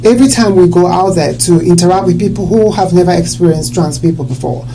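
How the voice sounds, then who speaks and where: A woman hosting a talk show discussion, earnest and quick to agree with her guest. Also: on the low side, dark tone, a little hiss or hum, rough recording. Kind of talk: casual discussion.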